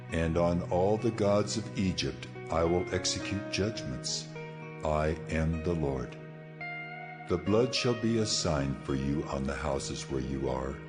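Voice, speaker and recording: A man playing God in a dramatized scripture reading, solemn and authoritative as he declares commands. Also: deep voice. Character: God speaking laws, solemn commanding male deity.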